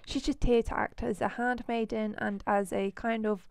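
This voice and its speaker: A woman commentates a life-sim game in a loose, rambling way, full of fillers. Her voice is hoarse from a cold, with a gravelly edge under an otherwise smooth tone. The sound is dry and close, with no room around it. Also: fairly high pitch, fairly even intonation, moderate pace, a little mellow, dry recording. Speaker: let's play host.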